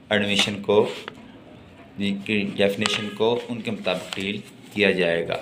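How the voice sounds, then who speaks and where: A man with a Pakistani accent giving a lecture, reading notes aloud deliberately and explaining them. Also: fairly low pitch, noisy background. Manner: slow, deliberate when reading English, explains in Urdu. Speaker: law lecturer.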